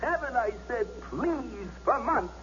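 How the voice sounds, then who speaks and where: On a dull, hissy radio drama recording, a vindictive police officer and scorned husband. His voice swings widely between menace and bitter outburst, and sits high for a man. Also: expressive, takes it slowly, exaggerated voice. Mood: bitter, anguished. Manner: menacing, swinging from hushed to shouting. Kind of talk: 1970s radio drama acting. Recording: dull, noisy 1970s radio broadcast.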